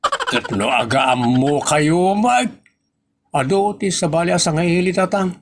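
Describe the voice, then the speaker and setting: A man's voice: a little high, lively intonation, takes it slowly. A man playing a painfully bashful, whining grown child in a comedy audio drama. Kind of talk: Ilocano comedy radio-style drama acting. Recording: noticeable background noise.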